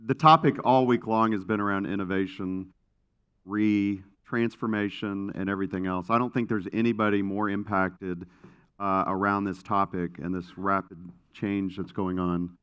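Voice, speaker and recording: An American man moderates a panel on a conference stage, his voice very low. He talks loosely, restarting sentences and filling gaps with "uh" and "you know". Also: a bit bright. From United States. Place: conference stage before an audience.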